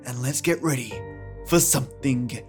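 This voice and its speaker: A man's voice: medium pitch, moderate pace. A man reading a scripted podcast introduction as its host, with music behind him. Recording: music under the voice. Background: music, guitar.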